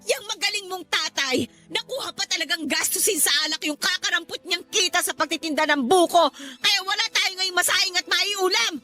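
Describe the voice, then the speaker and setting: Shrill-pitched, scolding wife played by a woman. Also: moderate pace, few pauses, pushed and bright. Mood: accusing. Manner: scolding, accusing. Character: embittered, nagging pregnant wife and mother, poor household. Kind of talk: Tagalog radio drama acting. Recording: music behind the voice. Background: music.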